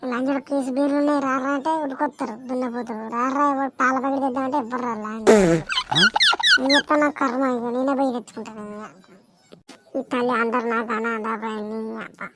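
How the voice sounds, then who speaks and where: A woman acting as a young cartoon village lad who whines and curses. The voice is high and defiant, with a Telangana accent. The recording carries slight noise. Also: fairly even intonation, quick pace, lightly acted. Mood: frustrated cursing. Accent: Telangana Telugu. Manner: whining, crude, defiant. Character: whiny, cursing jobless young village son. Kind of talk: amateur cartoon comedy dialogue.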